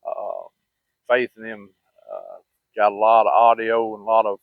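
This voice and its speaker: A man's voice: lowish voice, level tone, moderate pace, vocal fry, no echo. Folksy Southern man on muffled, phone-like audio. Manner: folksy, rambling, plain-spoken. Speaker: vlogger. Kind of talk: casual personal storytelling.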